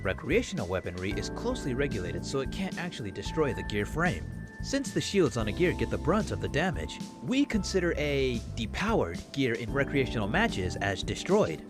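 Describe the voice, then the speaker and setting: Man voicing a very animated formal male professor. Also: medium pitch, moderate pace, pitched below the performer's own voice. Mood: formal, measured. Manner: formal, explanatory. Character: male professor, composed, formal military lecturer. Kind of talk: acted visual novel dialogue. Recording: music under the voice.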